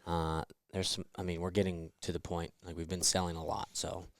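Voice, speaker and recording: A man's voice: low-pitched, little pitch movement, fairly quick, no room sound. A man chiming in on a casual podcast with wry, teasing remarks.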